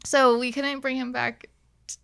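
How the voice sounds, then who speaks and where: A woman's voice: sits high, little pitch movement, a little slow, creaky, a bit bright, clear, resonant tone. A young woman telling stories casually as a podcast guest, in a giggly manner. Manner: giggly, laughs through her words. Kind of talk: casual chat, storytelling.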